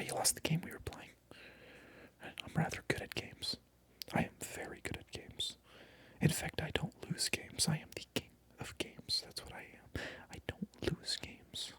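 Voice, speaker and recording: A man's voice: fairly high pitch, slightly rough. A man whispering through a comedic ASMR role-play, mock-indignant and repeating his phrases over and over. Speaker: ASMR creator.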